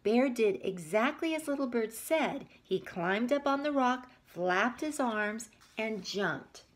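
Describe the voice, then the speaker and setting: Lady teacher doing storytime for little kids, slow and super animated, kinda breathy, asks them stuff. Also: a little high. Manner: expressive, asks the listeners questions. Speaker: teacher reading picture books aloud to young children. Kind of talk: read-aloud storytelling with asides to young listeners.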